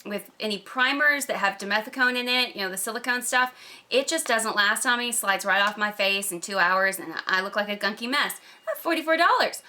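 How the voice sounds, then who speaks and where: A woman with a slightly croaky voice from a cold, chatting casually and emphatically to camera as a gushing beauty vlogger. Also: a little high, sharp tone. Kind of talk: casual product review chat to camera.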